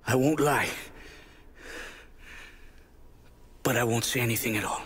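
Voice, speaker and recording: An actor playing a solemn, righteous priest who speaks like an orator in a dramatic video game. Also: male voice, medium pitch, quick pace, fairly bright tone, husky. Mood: defiant. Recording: long church reverb, music behind.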